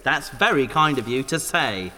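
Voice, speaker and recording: A man's voice: mid-range voice, lively intonation, normal speed. A man playing a patient, earnest and squeamish scientist who speaks politely and precisely in a sci-fi audio drama. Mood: pleased, instructive. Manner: polite, precise, gently admonishing. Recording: long hall-like reverb.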